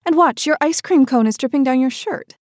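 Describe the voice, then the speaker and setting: A woman voicing a prim storybook mother who briskly and politely admonishes her small child. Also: sits high, darkish tone, no room sound, studio quality, pitched above the performer's own voice, close to an everyday voice. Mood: coaxing, brisk. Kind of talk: storybook character voice.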